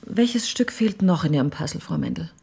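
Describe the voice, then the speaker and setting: An inquisitive woman in a radio play, with a lowish, mellow voice. She talks naturally and conversationally, friendly and resourceful. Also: animated, brisk, gravelly. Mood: curious. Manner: questioning, conversational. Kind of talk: naturalistic radio drama acting.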